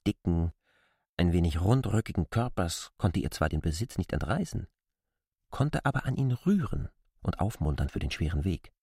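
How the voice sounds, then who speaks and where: A man narrating a literary audio drama in a measured, reflective way. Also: low-pitched, darkish tone, dry recording, studio quality.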